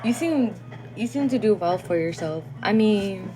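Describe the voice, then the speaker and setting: A woman's voice: medium pitch, expressive, a little slow, over music. A young woman chatting casually on a phone livestream; English is not her first language, and she laughs often and sometimes stumbles over words. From Guatemalan family.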